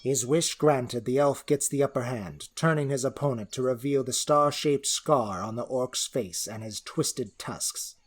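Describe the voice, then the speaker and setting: A man of middling pitch serves as storyteller for a fantasy adventure. He delivers scripted narration theatrically, shaping each phrase with deliberation. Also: unhurried, hardly any pauses. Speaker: narrator and creator of the story world.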